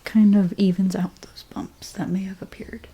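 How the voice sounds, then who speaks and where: A woman whispering in an ASMR role play as a hairstylist, gently talking through each step in a breathy, mostly unvoiced voice. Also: mid-range voice, little pitch movement. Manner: gentle, unhurried, step-by-step personal attention. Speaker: ASMR creator playing a hairstylist.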